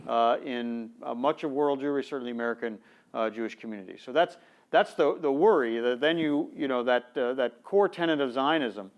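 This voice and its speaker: Measured man, smooth voice, dull sound. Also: mid-range voice. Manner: measured, filler-heavy, diplomatic. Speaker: former ambassador, panelist. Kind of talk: panel discussion. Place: conference room.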